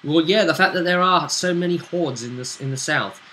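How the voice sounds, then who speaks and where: A British man speaking as a game master, recapping a tabletop role-playing story in a halting, conversational way. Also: a little high, bright, forceful tone, a little hiss or hum, poor audio.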